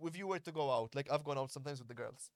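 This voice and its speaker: A man's voice: mid-range voice, rapid-fire, no echo, clean studio sound. A man, a podcast host and fight coach, talking frankly and at length in casual conversation and swearing freely. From Malta. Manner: blunt, earnest, explains at length, swears freely.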